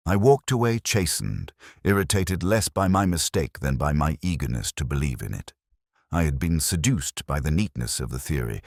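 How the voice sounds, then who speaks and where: A man with an exceptionally low voice narrates a literary detective story. His delivery is formal and measured, yet his intonation moves widely. The sound is dry and close, with no room around it. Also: no room sound. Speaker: audio drama narrator. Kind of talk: scripted first-person literary mystery narration.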